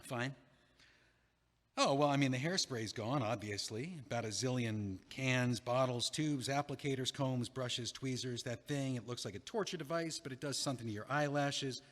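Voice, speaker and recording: A nervous, wry man who stammers and rambles, played by a man. His voice sits at a middling pitch and carries a long reverb. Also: normal speed, a bit bright, lightly acted. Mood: rambling. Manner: hesitant, rambling, self-deprecating. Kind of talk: audio play acting.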